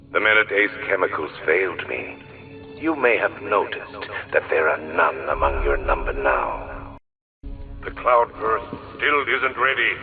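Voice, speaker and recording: A man playing a sinister, cold video game supervillain, a master of fear who taunts in slow, deliberate, ominous theatrical monologues. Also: very deep voice, full character voice. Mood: cold threatening. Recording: dull, reverberant, distant, under loud music.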